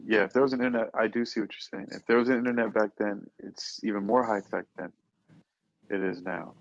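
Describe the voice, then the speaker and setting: A man with a fairly low voice co-hosts a casual talk show, musing and rambling as he goes. The audio is somewhat dull and muffled, a lo-fi sound. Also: steady tone, fairly quick, dry recording. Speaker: podcast co-host. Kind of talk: casual chat.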